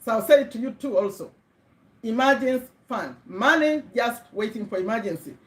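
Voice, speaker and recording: A woman's voice: a little high, expressive, a little fast. A middle-aged woman from Uganda with a Ugandan accent, hosting a casual motivational livestream on a webcam, chatty and repeating words for emphasis as she checks in with viewers. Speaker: livestream host. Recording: echoey room.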